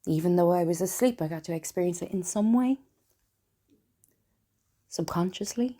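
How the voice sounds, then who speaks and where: A woman playing a lonely, wry, broken woman confiding in Death in an intimate, hesitant theatrical monologue, with a husky, breathy voice. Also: lowish voice, normal speed, mellow, close to an everyday voice. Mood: tentatively hopeful. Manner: confessional, self-deprecating, hesitant. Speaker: writer and performer of a one-woman play.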